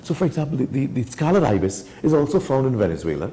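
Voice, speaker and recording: A man's voice: medium pitch, sing-song, fast, dark tone. A Trinidadian man from Trinidad and Tobago, an enthusiastic wildlife guest telling stories in a casual studio chat. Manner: enthusiastic, storytelling.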